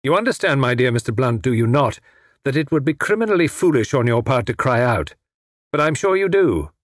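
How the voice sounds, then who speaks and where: A man's voice: medium pitch, very animated, brisk, no echo, clean studio sound. A man voicing a suave, menacing, well-educated foreign spy who is formal and mockingly polite in a story. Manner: mockingly polite, formal, snarling when crossed.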